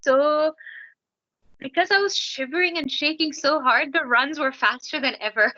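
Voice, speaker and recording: A woman from Canada, a singer and podcast guest, telling an animated anecdote and laughing often. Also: sits high, normal speed, dry recording, dull audio. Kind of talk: casual anecdote in an interview.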